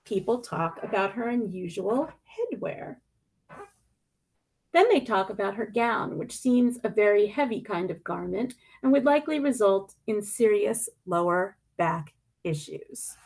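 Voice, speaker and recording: Female storybook reader, very lively intonation, noticeable vocal fry, dramatic stresses and pauses. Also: mid-range voice, poor audio. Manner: deliberate, dramatic emphasis with pointed questions and pauses. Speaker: media specialist reading a picture book aloud. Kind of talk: expressive picture-book read-aloud.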